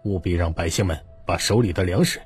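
A commanding household steward gives orders in a low, rough voice , played by a male narrator. He is stern and sure of his authority, an audiobook character with faint music behind. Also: a little fast.

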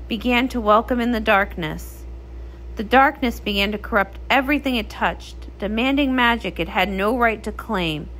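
High-voiced woman narrating a storybook. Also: a bit bright, a little hiss or hum, rough recording. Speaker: comic read-along narrator.

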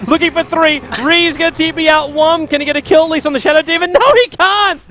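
Fast, excitable young esports caster. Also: male voice, very high-pitched, slight background noise. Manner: rapid, excitable play-by-play. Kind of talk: esports play-by-play commentary.

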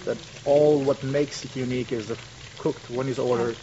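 A man's voice: mid-range voice, normal speed. Earnest man promoting his restaurant, muffled. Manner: earnest, promotional, loose with grammar. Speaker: restaurant owner. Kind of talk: TV interview about his restaurant. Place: TV studio set. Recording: muffled broadcast audio with steady hiss.

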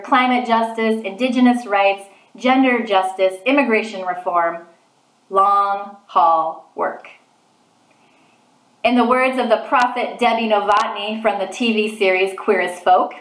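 A woman in her thirties or forties preaching an online sermon in an earnest, deliberate way, recorded in a small room at home. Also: a little high. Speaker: preacher, clergy. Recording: roomy, echoing home recording.

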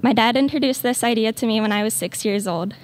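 Higher-voiced teen girl, earnest student speaker. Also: fast talker. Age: late teens. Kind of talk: read graduation speech.

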